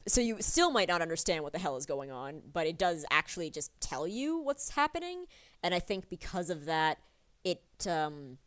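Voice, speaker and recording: A woman's voice: on the low side, sing-song, normal speed, fairly bright tone. A woman streaming her drawing session, chatting casually and rambling with many fillers, a little sniffly from a cold. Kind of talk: casual chat while drawing.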